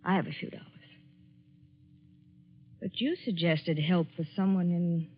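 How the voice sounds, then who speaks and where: Despairing young woman, husky, guarded and curt, muffled 1940s radio drama. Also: fairly low pitch, steady tone, measured pace. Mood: resigned. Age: young adult. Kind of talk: 1940s radio thriller acting.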